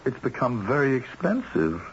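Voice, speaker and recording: A man's voice: mid-range voice, huge pitch swings, normal speed. A man playing a harried, money-worried husband in a radio drama, earnest and exasperated with his wife. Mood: weary, worried. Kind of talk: 1970s radio drama acting. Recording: dull old radio broadcast, faint hiss.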